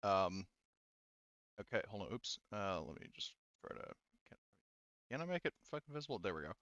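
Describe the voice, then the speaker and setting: A man's voice: fairly low pitch, big pitch swings, brisk, fairly bright tone, dry recording, dull audio. A man acting as game master in a tabletop roleplaying game, thinking aloud through rules and dice math.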